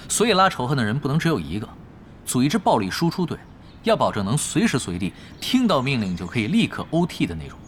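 Fast, animated male gamer tactician. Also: medium pitch, a little hiss or hum. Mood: cool, commanding. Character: unhurried, shrewd male gamer, tactician for hire. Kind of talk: audio drama dialogue.

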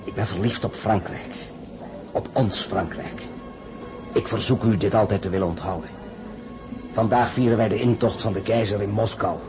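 A suave, shrewd male courtier played by an actor. He speaks in a measured, insinuating way, with polished courtly manners, as in a period radio drama. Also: lowish voice, fairly even intonation, music in the background, lightly acted. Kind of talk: radio play acting.

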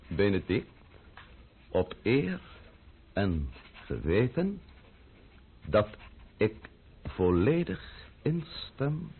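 A man's voice: sits low, narrow, tinny audio, slight background noise, heavily acted. A male actor playing a cunning, menacing, mocking man of power in a theatrical radio play. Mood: slow dictation. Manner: sardonic, theatrical, deliberate.

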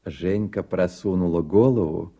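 A man narrating a literary radio drama in a measured, reflective manner. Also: sits low, mellow, dull audio.

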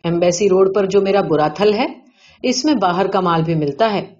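A woman's voice: lowish voice, darkish tone, lightly acted. A woman reading the part of a rich, boastful male gambling-den boss in a story, showy, blunt and colloquial. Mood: eager, pushy. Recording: dull lo-fi audio.

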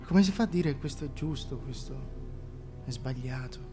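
An actor playing a brooding, murderous king in a theatrical monologue, speaking quietly and deliberately in broken phrases. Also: medium pitch, faint music behind, lightly acted. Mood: brooding, self-justifying. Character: brooding murderous king coldly justifying killing children.

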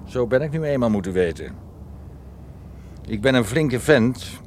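A man's voice: mid-range voice, expressive, measured pace, a little mellow, lightly acted. An actor playing a huge, menacing ex-boxer club owner in a radio play, wordy and mock-courteous in his threats. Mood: boastful threat. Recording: noisy radio play recording.

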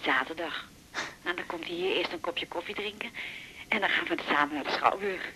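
An actress playing a chatty, nosy middle-aged mother in a naturalistic radio drama, fussy and quick to interject. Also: fairly low pitch, creaky, sharp tone, a little hiss or hum, poor audio. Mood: wistful, chatty. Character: chatty, nosy widowed mother of grown daughter.

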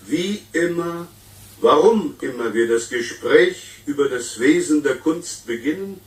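A pompous lecturing professor. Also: male voice, fairly high pitch. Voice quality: solemn, declamatory lecturing. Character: pompous male professor on an old lecture tape. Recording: roomy, distant tape playback.

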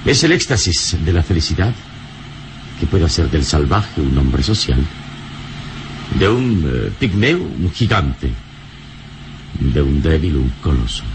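Very deep, serene radio hero. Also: male voice, sing-song, heavily acted. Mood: solemn, romantic. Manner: unhurried, courtly, philosophical. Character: serene, gallant adventure hero with a majestic air. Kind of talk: old radio serial acting. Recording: noisy old radio serial recording.

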